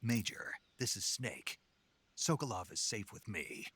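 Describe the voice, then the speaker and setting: An actor playing a stoic, terse American special forces soldier in a video game. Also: male voice, lowish voice, sing-song, a little slow, a bit bright, breathy edge, full character voice. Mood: calm, terse. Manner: terse, controlled. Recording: music behind the voice.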